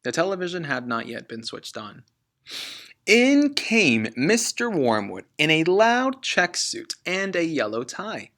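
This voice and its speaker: A man reading a children's book aloud like a teacher, expressively and with deliberate emphasis on key words. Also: a little high, big pitch swings, pushed and bright.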